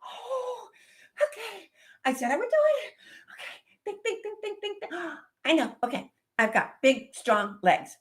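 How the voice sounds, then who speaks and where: A woman's voice: extremely high pitch, sing-song, measured pace, pitched above the performer's own voice, full character voice. A woman voicing a small, brave female snowshoe hare who talks to herself anxiously before making a plan.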